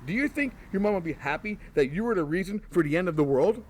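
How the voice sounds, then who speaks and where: Man doing a cocky scheming monster hunter, pushy and sarcastic, high swoopy voice, bit of background noise. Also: expressive, fast talker. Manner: sarcastic, pushy, smooth-talking. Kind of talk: comedy horror audio drama acting.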